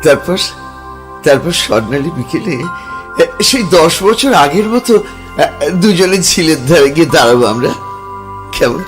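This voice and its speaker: Man voicing a stammering young lover, lively pitch, slow halting delivery, music under. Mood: tender. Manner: tender, hesitant, stammering. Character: young man reliving past life as lover. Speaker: actor. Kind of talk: Bengali radio drama acting.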